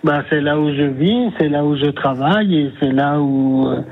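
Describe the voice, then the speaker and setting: A man on a muffled, dull phone line, with a husky voice that sits somewhat high for a man. He speaks earnestly and calmly, patiently correcting the host each time he is misheard. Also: normal speed. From France. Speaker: caller. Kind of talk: phone-in call.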